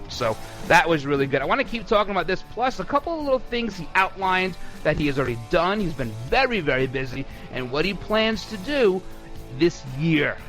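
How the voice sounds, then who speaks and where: A man's voice: high voice, very animated, a little fast, a bit bright, faint music behind. A male radio talk show host delivering a sarcastic, mocking political commentary monologue.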